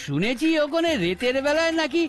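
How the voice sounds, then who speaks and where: Squeaky, very high cartoon driver. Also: male voice, big pitch swings, brisk. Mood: deferential, gossipy. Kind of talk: exaggerated cartoon dialogue.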